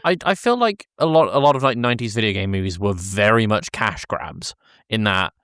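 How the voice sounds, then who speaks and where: A man's voice: fairly low pitch, expressive, fairly quick, a bit bright, smooth, dry recording, studio quality. A man in his thirties co-hosting a podcast, giving casual, opinionated talk about film. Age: 30s. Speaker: podcast co-host.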